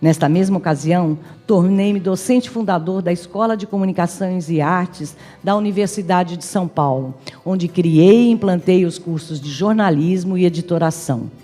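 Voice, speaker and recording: A Brazilian woman reading a formal prepared tribute speech in a measured, enumerating manner, as an academic addressing a conference. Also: on the low side, warm tone. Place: large hall at an academic conference. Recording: reverberant hall, music in the background.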